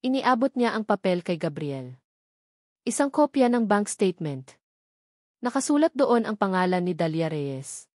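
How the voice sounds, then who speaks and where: A Filipino woman narrates a dramatic love story with a solemn delivery. Her voice sits at a medium pitch, recorded dry and close to the microphone. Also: big pitch swings, normal speed, long pauses, darkish tone, dry recording.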